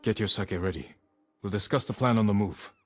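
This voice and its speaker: Samurai lord for a game drama male actor: slightly low, grave and measured, speaks tersely with authority. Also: a bit bright, soft background music, lightly acted. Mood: resolute. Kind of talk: video game cutscene acting.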